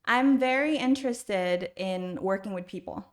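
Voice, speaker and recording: A woman's voice: medium pitch, unhurried. A middle-aged woman with an American accent, answering interview questions conversationally as a podcast guest. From Thailand, then the United States from age six. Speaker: podcast guest, school university counselor.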